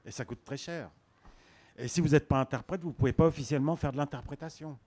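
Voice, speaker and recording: A man's voice: a little high, fast, mellow. Emphatic man in a discussion. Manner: impassioned, emphatic, hesitant at times. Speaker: participant in a discussion. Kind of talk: spontaneous discussion.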